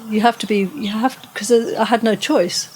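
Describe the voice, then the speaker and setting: A woman's voice: fairly high pitch, steady tone, normal speed, slight background noise. Older woman telling her story in an interview. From Tanzania. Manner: rambling, warm recollection with frequent restarts and soft laughs. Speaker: podcast guest. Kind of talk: relaxed conversational storytelling.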